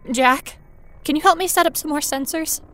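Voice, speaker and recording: A woman's voice: sits high, normal speed, bright, forceful tone, faint music behind. A woman playing a nervous, wry paranormal investigator in an acted first-person horror audio drama, talking in a conversational, self-aware way. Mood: urgent calling. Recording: noisy ambient sound bed behind voice.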